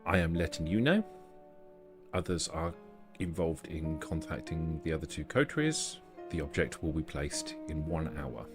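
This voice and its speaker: A man acting a formal, courteous vampire envoy of a prince in a tabletop roleplaying game, speaking in measured, officious phrases. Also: rumbling low, animated, soft background music.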